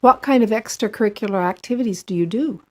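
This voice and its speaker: An adult American woman who interviews young people with short scripted questions, asked in a measured, even way. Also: mid-range voice.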